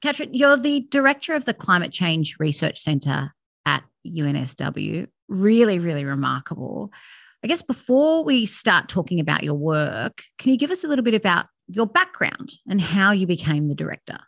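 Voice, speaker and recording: A woman's voice: fairly low pitch, sing-song, normal speed, gravelly, a bit bright, no room sound. A woman hosting an interview, earnest and emphatic with repeated words. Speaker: podcast host.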